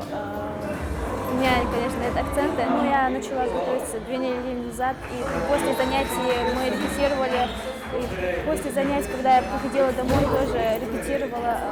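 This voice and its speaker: A woman student performer talking candidly and simply in an interview. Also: high-pitched. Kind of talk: interview comment. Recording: loud music and crowd.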